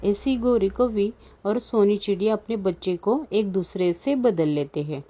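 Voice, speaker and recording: A woman's voice: mid-range voice, unhurried. A woman reading scripted narration for a children's animal story. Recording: dull lo-fi sound.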